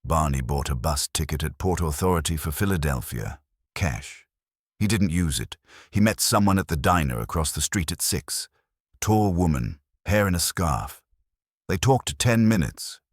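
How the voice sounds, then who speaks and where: A man voicing a patient field operative in an audiobook, reporting softly, tersely and dryly. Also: low voice, expressive, moderate pace, no echo. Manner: terse, soft, dry reporting. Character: soft, patient, dry male field operative.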